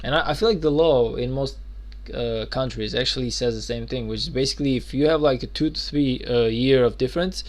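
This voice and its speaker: A man's voice: medium pitch, fairly quick, few pauses. A man in his twenties chatting loosely while streaming a game, rambling with lots of fillers and restarts. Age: 20s. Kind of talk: casual live-stream chat.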